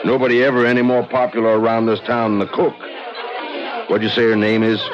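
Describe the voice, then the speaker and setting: An actor playing a breezy, plain-spoken small-town American tavern keeper in a radio drama. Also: male voice, medium pitch, little pitch movement, a little fast, natural voice. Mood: hearty, busy. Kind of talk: mid-century American radio drama acting. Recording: muffled old radio, crowd murmur.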